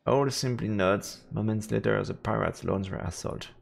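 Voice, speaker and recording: A man's voice: on the low side, normal speed, gravelly. A man narrating a fantasy visual novel aloud as a streamer, in a dramatic storybook style. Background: faint game music.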